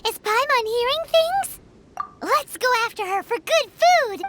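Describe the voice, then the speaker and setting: Game fairy sidekick: tiny, childlike, extremely high, swooping delivery, indignant and food-obsessed. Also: female voice, animated, unhurried. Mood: eager. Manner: refers to herself in third person, whiny, exclaiming. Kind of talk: anime video game voice acting. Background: game music.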